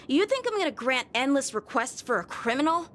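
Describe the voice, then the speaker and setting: A woman's voice: high-pitched, sing-song, brisk, fairly bright tone, slight background noise. An actress playing an earnest, easily exasperated young swordsman in a video game. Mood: indignant. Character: indignant, earnest young man, a proud sword trainee. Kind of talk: scripted video game dialogue. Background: faint game music.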